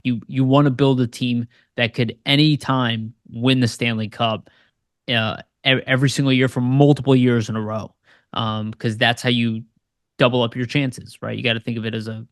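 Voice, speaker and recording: A man's voice: mid-range voice, little pitch movement, normal speed, no room sound, studio quality. An American man co-hosting a sports podcast, talking in a loose, rambling way with lots of fillers. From United States. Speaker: podcast co-host. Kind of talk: casual sports podcast chat.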